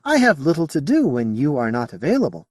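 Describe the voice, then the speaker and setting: A high, lilting female alien who studies humans with calm curiosity, played by a man reading a storybook. She speaks slowly and enunciates with care, in formal, slightly off grammar. The sound is close and dry. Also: no echo. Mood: calm, probing. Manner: slow careful enunciation, formal phrasing.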